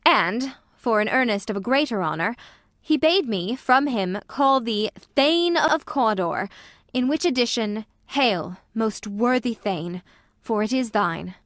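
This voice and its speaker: A woman's voice: fairly high pitch, lively intonation, unhurried, a bit bright, no echo, clean studio sound. A woman playing a formal noble messenger in a dramatic Shakespeare reading. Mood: ceremonious.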